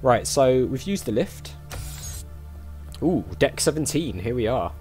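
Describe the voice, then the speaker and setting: A man hosts a casual gaming video, thinking aloud as he goes. His pitch moves around in a lively way, and game music plays softly behind him. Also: a little slow. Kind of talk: casual let's-play commentary.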